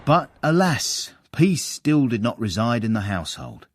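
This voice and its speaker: A man's voice: medium pitch, big pitch swings, measured pace, heavy background noise. An actor playing a wry, discreet British manservant, confidingly narrating his tale in a period comic radio drama.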